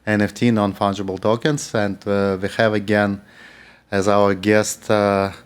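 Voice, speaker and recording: A man's voice: fairly low pitch, flat delivery, unhurried, clean tone. A man hosting a talk show interview, speaking hesitantly with many fillers.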